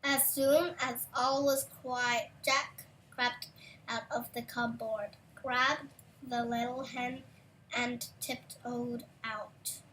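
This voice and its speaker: A slightly distant recording with faint noise carries a young female voice reading a fairy tale aloud. She is high-pitched and takes the narration slowly. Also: flat delivery, fairly bright tone. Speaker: storybook reader.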